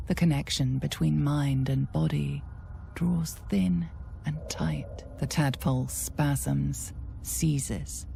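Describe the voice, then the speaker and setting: A grave female storyteller of a dark fantasy game. She is hushed and dramatic, low-pitched and very creaky. Each phrase comes slowly and deliberately, in a dark, mellow tone. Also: fairly even intonation, faint music behind, full character voice. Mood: grave, intense. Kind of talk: scripted second-person game narration.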